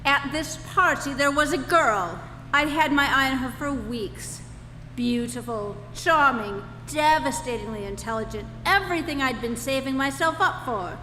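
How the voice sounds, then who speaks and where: A woman playing a disgruntled, put-upon Earthman in a live stage radio play, dry and aggrieved in a deliberate delivery. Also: sits high, lively intonation, sharp tone. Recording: echoey hall, music behind.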